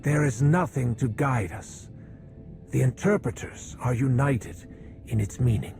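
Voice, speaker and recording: A man's voice: medium pitch, unhurried, creaky, darkish tone, soft background music. An actor playing a grim male alien seer in a video game, terse and portentous. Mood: grim foreboding. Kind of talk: video game cutscene acting.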